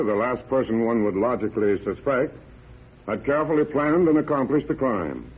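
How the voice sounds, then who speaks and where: A dull old radio recording carries a husky, mid-pitched man. He reads a formal prepared speech as a guest sheriff in a 1930s broadcast. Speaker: county sheriff, guest introducing a case.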